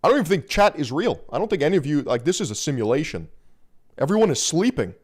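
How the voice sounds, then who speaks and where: Lively-voiced male streamer, crude and wry. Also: fairly high pitch, fast, husky. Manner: crude, wry, laughs often. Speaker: streamer reacting to a video. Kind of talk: live reaction commentary.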